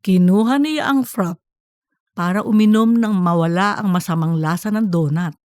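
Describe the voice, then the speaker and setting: A woman reading scripted comedic fiction as an audiobook narrator, in a lively storytelling way. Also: mid-range voice, normal speed, dry recording, clean studio sound.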